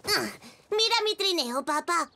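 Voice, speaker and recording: A curious little boy zebra from a children's cartoon, speaking. His voice is very high and swoops all over, with bouncy, gleeful exclamations delivered at an unhurried pace. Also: expressive, gravelly, pushed and bright, heavily acted. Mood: eager. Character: curious excitable five-year-old boy zebra. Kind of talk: cartoon dubbing.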